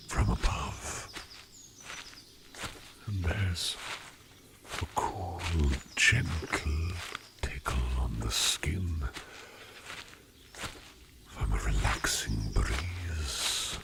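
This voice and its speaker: Man voicing a very deep, slow, gravelly demon. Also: very noisy, full character voice. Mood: serene, wistful. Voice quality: deep gravelly, breathy half-whisper. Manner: slow, halting, formal, musing phrasing. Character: disguised male sleep demon, courteous, curious, otherworldly, soothing. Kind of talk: ASMR roleplay, personal attention.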